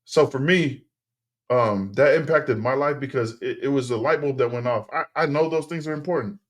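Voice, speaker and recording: A middle-aged man whose first tongue is Tongan speaks at an ordinary mid pitch. He talks like a relaxed podcast guest who circles back and restarts sentences. The tone stays easy and conversational. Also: moderate pace. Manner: relaxed, rambling, many fillers and restarts. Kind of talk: casual interview storytelling.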